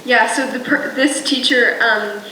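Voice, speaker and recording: A teenage girl on a student panel, answering thoughtfully with many pauses. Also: on the high side, fairly even intonation, sharp tone, a little hiss or hum. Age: teens. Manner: thoughtful, hesitant with ums. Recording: echoey room, mic from a distance.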